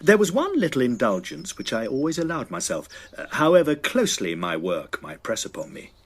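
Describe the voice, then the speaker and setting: A man's voice: mid-range voice, huge pitch swings, a little fast. A man playing a sceptical, self-assured young student in a period drama, with formal, articulate phrasing. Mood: measured storytelling. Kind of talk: dramatised first-person period narration and dialogue.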